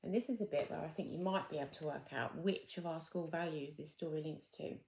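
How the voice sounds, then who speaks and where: A woman's voice: low voice, a little fast, creaky, a little mellow, echoey room, slight background noise, rough recording. A woman reading a children's storybook expressively, addressing young listeners like a teacher.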